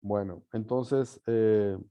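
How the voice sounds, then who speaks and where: A man teaching a class in an informal lecture style, pausing to think and often checking understanding with short tag questions. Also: on the low side, steady tone, mellow, clear, resonant tone, no echo. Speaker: university teacher leading an online or live class.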